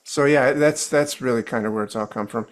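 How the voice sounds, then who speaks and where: A man's voice: mid-range voice, brisk. A man who coaches storytelling, a podcast guest explaining his approach in a reflective way with rhetorical questions. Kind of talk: casual interview chat.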